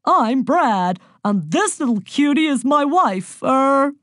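Woman acting big hearty American guy, booming, loud, disguised god, children's storybook. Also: animated, slow, dry recording, clean studio sound, exaggerated voice.

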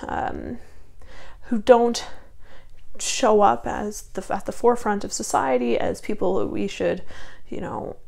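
Gravelly-voiced young woman with a cold. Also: medium pitch, measured pace, darkish tone. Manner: rambling, many ums and restarts. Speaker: book reviewer. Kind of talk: casual book review vlog. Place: small room in front of bookshelves.

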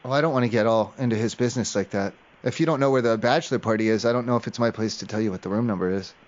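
A man's voice: fairly low pitch, rapid-fire. A man making an improvised prank phone call, rambling in an evasive, hesitant way. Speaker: prank caller. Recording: dull lo-fi call audio.

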